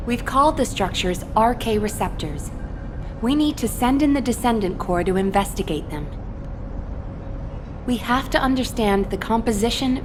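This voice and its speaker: A woman's voice: mid-range voice, natural voice. A woman playing a composed military briefing officer in a video game, speaking formally and instructively. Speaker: mission briefer. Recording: clear background noise.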